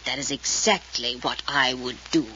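A woman playing a stern, haughty old grandmother with a husky voice in an old-time radio mystery, giving clipped commands. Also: extremely low pitch, moderate pace, very noisy. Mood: menacing. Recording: dull vintage radio recording.

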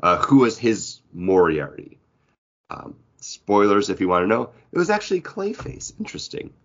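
A man's voice: on the low side, wildly swooping pitch, normal speed, dull audio. A man co-hosting a podcast, talking in a casual, conversational style. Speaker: podcast co-host. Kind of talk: casual comic book chat.

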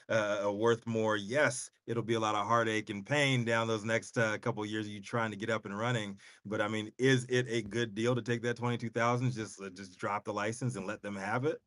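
A man with a mid-pitched voice co-hosts a casual talk show. He is laid-back and rambles through his thoughts with the odd curse. The recording is dry and close. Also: fairly bright tone, dry recording. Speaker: co-host. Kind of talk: casual podcast chat.

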